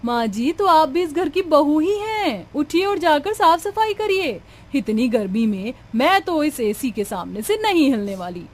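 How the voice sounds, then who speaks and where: A woman playing a haughty, shrill young daughter-in-law. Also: very animated, measured pace, hardly any pauses. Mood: defiant. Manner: snappish, scolding. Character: haughty, lazy young daughter-in-law, sharp-tongued and indignant. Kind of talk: Hindi animated moral-story acting.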